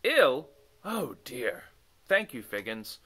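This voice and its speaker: A man voicing a commanding, wise king from a children's story, speaking in a regal, projected and deliberate way. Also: mid-range voice, sing-song, fairly bright tone, slightly rough, full character voice. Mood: measured. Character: commanding, wise king addressing his messengers.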